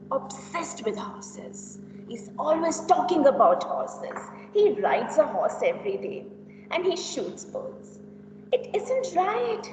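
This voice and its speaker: A woman performs a tactless young gossip in an amateur stage reading. The voice is high and very animated, taking its time over each judgment, in a muffled, echoey hall heard from far off. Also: a little hiss or hum. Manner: exaggerated, animated, giggly reactions. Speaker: teacher acting in a classroom reader's theater. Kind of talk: amateur reader's theater performance.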